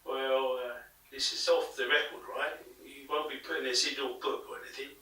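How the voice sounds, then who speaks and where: A man's voice: mid-range voice, fairly even intonation, moderate pace, pushed and bright, close to an everyday voice. A British man playing a matey but defensive pub landlord, informal and guarded, in an audio drama. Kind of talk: audio drama interview acting. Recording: very echoey room, distant mic.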